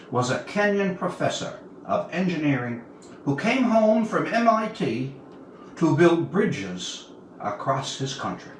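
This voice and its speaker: A man of about seventy from Newfoundland, a writer talking and telling stories to viewers on an informal livestream. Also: fairly high pitch. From Newfoundland, Canada. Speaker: writer reading his work to viewers. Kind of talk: informal livestream talk and storytelling. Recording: distant mic, roomy echo, audible noise.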